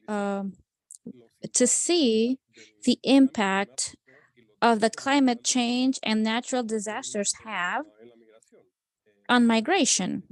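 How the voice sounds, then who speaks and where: Here is a woman interpreting a speaker on a video call. Her voice sits somewhat high. She halts often, fills pauses with um, and restarts phrases. The sound is close and dry with no room in it. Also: no echo. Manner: halting, with frequent um fillers and restarts. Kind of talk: simultaneous interpretation into English.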